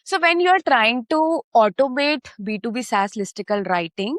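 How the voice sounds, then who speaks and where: A woman's voice: on the high side, lively intonation, moderate pace, no room sound, studio quality. A woman guest on a podcast who explains her work through anecdotes and often checks in with her listener. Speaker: podcast guest, content marketer. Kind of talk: podcast interview.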